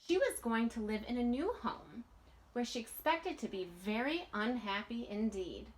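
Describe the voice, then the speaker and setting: A woman in her thirties reads a children's storybook aloud expressively, pausing deliberately between phrases. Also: fairly high pitch, expressive, poor audio. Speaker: teacher reading a storybook aloud.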